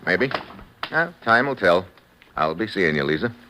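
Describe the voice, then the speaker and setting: A man's voice: sits low, big pitch swings, measured pace. An American man playing a tough, wisecracking secret agent in 1950s radio acting, with a wry, hard-boiled manner. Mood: calm, wry. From United States. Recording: old radio broadcast with music behind.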